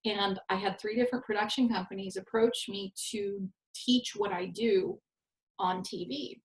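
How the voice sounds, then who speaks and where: A woman's voice: mid-range voice, normal speed. Nasal californian woman host, joky self-deprecating stories, echoey room. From Southern California. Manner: self-deprecating, animated storytelling. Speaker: network host and coach. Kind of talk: casual conversation. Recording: roomy echo.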